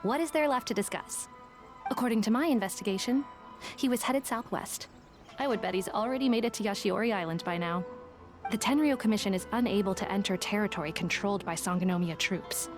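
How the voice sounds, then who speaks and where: A woman playing a calm, shrewd young woman in a video game, speaking in a measured, matter-of-fact, dry way. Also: mid-range voice, lightly acted. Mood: composed. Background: game music.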